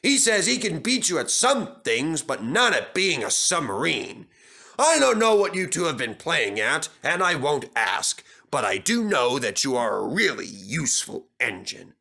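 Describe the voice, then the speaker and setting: A man voicing a pompous but approving older railway controller, formal and measured, in a children's storybook. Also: on the high side, very animated, gravelly, bright, forceful tone, heavily acted. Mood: stern approval.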